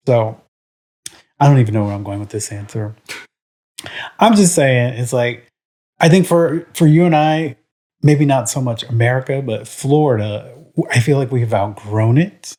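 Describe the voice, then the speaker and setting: A man from Puerto Rico co-hosting a laid-back podcast. He meanders through his thoughts with plenty of "um" and "like", yet stays blunt and opinionated, pitched at a middle level for a man. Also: moderate pace, dark tone. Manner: rambling, full of "um" and "like"; frank and opinionated. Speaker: podcast co-host. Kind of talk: casual podcast chat.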